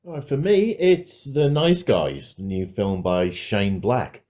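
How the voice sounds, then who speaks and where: A man's voice: on the low side, lively intonation, takes it slowly, a little mellow. A man co-hosting a podcast, chatting casually about films in a wry, sweary, self-mocking way. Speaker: podcast co-host. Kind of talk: casual film review chat.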